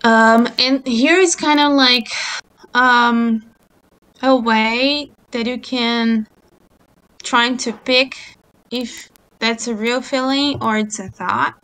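A woman whose first language is Portuguese, leading a book club discussion over a video call and reading passages aloud, with hesitant pauses and frequent ums. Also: on the high side, steady tone, pushed and bright. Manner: slow, hesitant, many ums.

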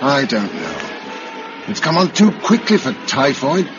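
An actor playing a practical, sombre survivor leading refugees, with an educated British accent, in a radio drama. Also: male voice, medium pitch, huge pitch swings, slow pace. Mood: grim, practical. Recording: muffled old radio recording, background noise.

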